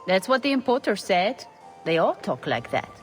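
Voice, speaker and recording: An actress playing a hesitant, gossipy castle servant in a video game, speaking earnestly. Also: female voice, mid-range voice, sing-song, moderate pace, natural voice. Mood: matter-of-fact. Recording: long echo, faint background music.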